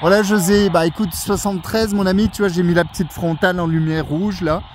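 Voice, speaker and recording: A man's voice: high voice, steady tone, brisk, noisy background. A man chatting as an amateur radio operator outdoors on a mountain at night, friendly and chatty with polite sign-offs, into a handheld radio mic. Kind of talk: casual radio chat.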